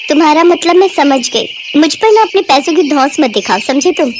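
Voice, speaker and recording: A woman's voice: high voice, expressive, a little fast, background noise, pitched above the performer's own voice. A woman playing a young female college student in a story, dutiful yet feisty, with an earnest, firm manner. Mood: irritated, sharp.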